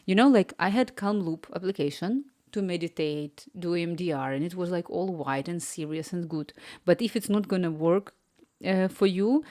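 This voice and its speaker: A woman's voice: on the low side, moderate pace, a little mellow, no echo, clean studio sound. A woman in her thirties chatting casually on a live stream, rambling and laughing often. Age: 30s.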